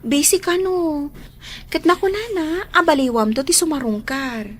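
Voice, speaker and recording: A woman's voice: high-pitched, lively intonation, normal speed. A woman acting as an apologetic, respectful younger sister in an audio drama, with an Ilocano accent. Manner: apologetic, deferential.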